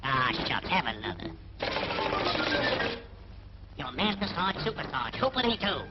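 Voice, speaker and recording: An actor playing a gruff, wisecracking little fairy army sergeant with slangy, streetwise rhymes in a 1940s wartime cartoon. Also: male voice, fairly high pitch, big pitch swings, measured pace, very noisy. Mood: wry, offhand. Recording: dull 1940s film soundtrack, loud music.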